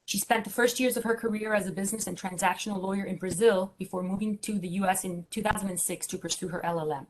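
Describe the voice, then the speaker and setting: A woman's voice: medium pitch, steady tone, quick pace, hardly any pauses, a little hiss or hum, poor audio. Woman formally introducing a panel. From Miami, United States. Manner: formal, with fillers and restarts. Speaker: moderator, law firm partner.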